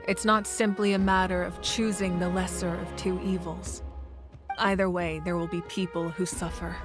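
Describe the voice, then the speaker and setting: A woman's voice: fairly low pitch, lightly acted. Woman playing a composed, authoritative female leader over music. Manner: measured, deliberate, serious. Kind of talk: video game character acting.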